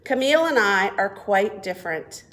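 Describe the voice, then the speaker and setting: A woman reading a picture book aloud to young children as a children's librarian, expressive and deliberate. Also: medium pitch. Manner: expressive, deliberate, asks listeners questions. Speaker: children's librarian and storytime reader.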